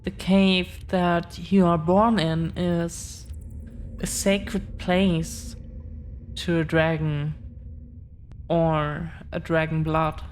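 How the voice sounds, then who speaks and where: A woman playing an affectionate, protective dragon-blooded girlfriend in an intimate audio roleplay, speaking earnestly with halting, deliberate pauses. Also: on the low side, little pitch movement, a little mellow, a little hiss or hum. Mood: earnest, solemn.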